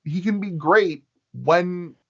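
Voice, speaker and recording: A man chatting casually as an interview guest, halting and often circling back to rephrase his thoughts. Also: high voice, vocal fry, fairly bright tone, dull audio. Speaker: podcast guest, sound designer.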